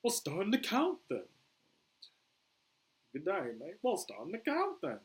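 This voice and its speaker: A chummy Australian rogue, put on by a male reader, pitched high and swinging widely. He is cheeky and matey, with a broad attempted Aussie accent, like a comic-book audiobook scoundrel. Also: wildly swooping pitch, unhurried, full character voice. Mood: cheeky, sarcastic.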